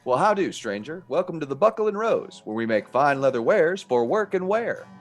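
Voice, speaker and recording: Lively, folksy Western shopkeeper. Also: male voice, a little high, moderate pace. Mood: friendly, obliging. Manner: folksy, obliging drawl. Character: folksy, friendly cowboy-style leather shopkeeper. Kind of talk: comic radio ad sketch. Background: plucked guitar music.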